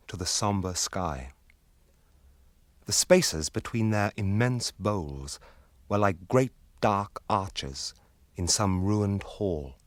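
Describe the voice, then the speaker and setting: A man's voice: on the low side, dry recording. A man giving solemn scripted narration for a radio drama.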